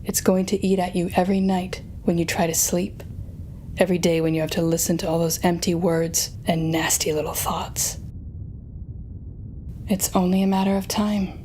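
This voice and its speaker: A woman's voice: fairly low pitch, flat delivery, warm tone, a little hiss or hum, natural voice. A woman playing a sardonic, telepathic supervillain in an audio roleplay, speaking straight to the listener with dramatic, wry exasperation. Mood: menacing, smug. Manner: dramatic, wry, exasperated, halting when flustered.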